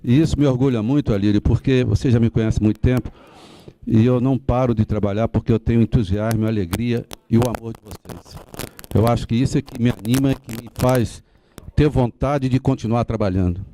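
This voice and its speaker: A man's voice: mid-range voice, steady tone, brisk, warm tone, a little hiss or hum, low-quality recording. A tired seventy-year-old Brazilian man making a rambling, sentimental, informal address to a meeting. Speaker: retired police officer and association advocate.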